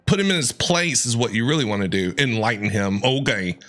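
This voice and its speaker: A man's voice: mid-range voice, animated, brisk, fairly bright tone, faint music behind. A man doing casual, playful game commentary as a streamer, teasing the characters as he reacts. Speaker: gaming streamer reacting to a story quest.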